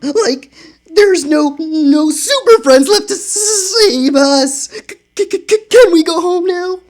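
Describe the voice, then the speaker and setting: A man doing a high, stammering cowardly teen. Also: lively intonation, takes it slowly, full character voice. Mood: scared. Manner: stammering, says like and man constantly. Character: cowardly lanky teenage boy, jittery. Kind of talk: dramatic comic reading.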